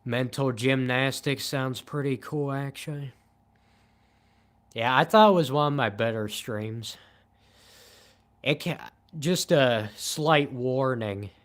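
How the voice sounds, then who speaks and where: A man in his twenties chatting casually on a late-night livestream in a flat, monotone, low-key way. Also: slow pace, pauses often. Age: 20s. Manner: monotone, calm and low-key, says he shows little emotion. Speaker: livestream host.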